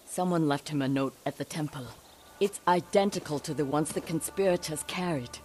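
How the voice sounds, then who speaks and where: A woman's voice: sits low, moderate pace, darkish tone, lightly acted. An actress voicing an older samurai noblewoman in a video game, grim, bitter and commanding. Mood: stern. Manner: stern, clipped, commanding. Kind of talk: dramatic game dialogue.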